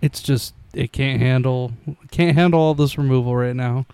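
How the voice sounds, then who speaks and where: A man's voice: medium pitch, steady tone, normal speed, mellow, clear, resonant tone, no room sound. A man in casual chat, easygoing as he recounts his games.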